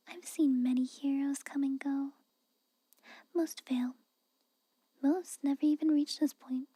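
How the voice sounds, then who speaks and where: A woman playing a guarded, haughty but secretly caring castle maid in a whispered ASMR roleplay, halting and grudging, close to the microphone. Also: high voice, no echo. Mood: grudgingly respectful. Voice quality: soft, breathy whisper. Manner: hesitant, halting, grudging, cautioning.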